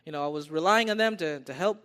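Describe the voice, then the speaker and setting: A man's voice: on the high side, quick pace, pushed and bright, smooth. An American man preaching a sermon, repeating his phrases for emphasis and calling his listeners beloved. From United States. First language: English. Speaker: pastor.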